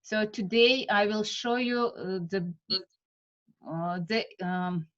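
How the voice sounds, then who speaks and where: Russian-accented woman instructor, slow, pausing often, dull call audio. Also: medium pitch. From Siberia, Russia. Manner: halting, many fillers, warm welcomes. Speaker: art method instructor. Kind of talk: live online class introduction. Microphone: video call.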